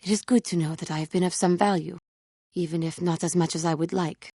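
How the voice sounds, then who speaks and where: An actress playing an earnest, solemn alien Jedi woman warrior in a video game, devoted but self-doubting, speaking in formal, measured phrases that halt with emotion. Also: lowish voice, steady tone, mellow, no room sound, clean studio sound. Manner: formal, measured diction without contractions, halting when emotional. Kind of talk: scripted video game character dialogue.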